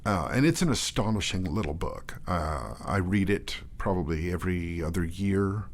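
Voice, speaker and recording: A man talking as a guest in a casual in-depth discussion, often restarting his phrases in a halting way. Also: sits low, expressive. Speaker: guest, theatre artistic director and performer.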